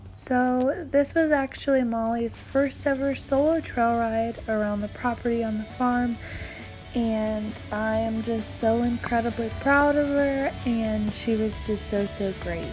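A woman's voice: on the high side, fairly even intonation, slow pace, rough recording. A woman giving a light, giggly voice-over for her vlog. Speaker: horse vlogger. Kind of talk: casual voice-over narration. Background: music under the voice.

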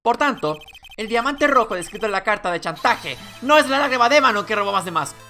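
A woman's voice: a little high, expressive, a little fast, bright, forceful tone, faint music behind. A woman voicing an earnest, confrontational young male defense attorney from a video game, declaiming and accusing.

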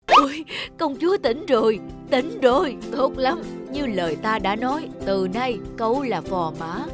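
Woman as bearded king, anxious father, imperious, exclamatory, cartoon folk tale, clear musical backing. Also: sits high, animated, a little slow, over music. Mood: elated, commanding.

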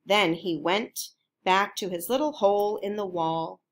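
Slow-paced woman reading a storybook. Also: mid-range voice, slight background noise. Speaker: storybook reader. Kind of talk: children's picture book read-aloud.

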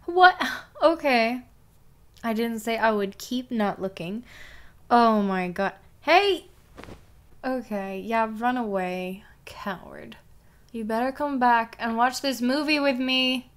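A woman's voice: on the high side, slow pace. A young woman playing a bossy, teasing step-sister in an intimate ASMR roleplay, often dropping toward a whisper.

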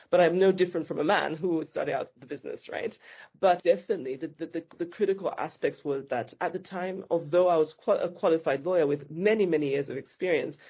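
A woman speaks in a warm, relaxed tone and answers interview questions with care. She often backs up and rephrases mid-sentence. The audio is a little dull and muffled. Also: low-pitched, slightly rough. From Zambia. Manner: thoughtful, self-correcting, with frequent restarts. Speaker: business founder being interviewed.

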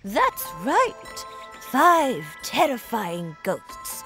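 A woman playing an awestruck villager in an animated fairy tale, dramatic and full of wonder, with music behind her. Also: fairly high pitch, extremely slow, full character voice. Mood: ominous. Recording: voice over loud background music.

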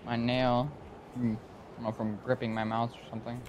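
A young man with a Texan accent, sick and sleepy, making excited, repeated callouts to his teammate. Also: mid-range voice, normal speed, noisy background. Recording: loud gunfire behind game chat. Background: game sounds and gunfire.

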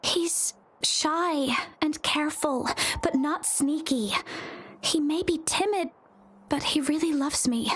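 A woman voicing an anxious, soft-spoken young woman in an anime video game, with a hesitant, fretful delivery. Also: high-pitched, slow pace, poor audio. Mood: subdued, tender.

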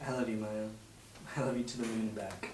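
A young man reading the part of a lovesick teenage boy, earnest and halting, in a web series script. The sound is distant and echoey, with noticeable noise in the room. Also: on the low side, fairly even intonation, close to an everyday voice. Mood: tender. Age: young adult. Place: home dining room around a table. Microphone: far camera. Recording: roomy, distant mic, clear background noise.

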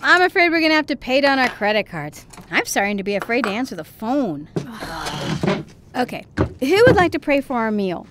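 A woman's voice: high-pitched, sing-song, brisk, noisy background. A middle-aged woman acting a kindly, wry mother in a family audio drama. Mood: wry, warm.

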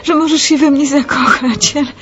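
An actress playing an unhappy, gentle young woman in love, speaking hesitantly in a radio drama. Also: sits high, steady tone, close to an everyday voice. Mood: hesitant, earnest. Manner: hesitant, halting. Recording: slightly dull audio with music underneath.

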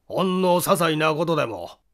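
A man as a probing police inspector, lively intonation. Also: on the high side, moderate pace. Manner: pointed, polite questioning.

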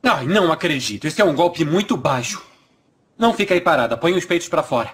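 A man dubbing a pedantic, socially awkward young physicist in a Brazilian Portuguese TV dub, with a crisp, matter-of-fact delivery. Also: fairly high pitch, expressive, fairly quick, heavy background noise, natural voice. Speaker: voice actor dubbing a sitcom character.

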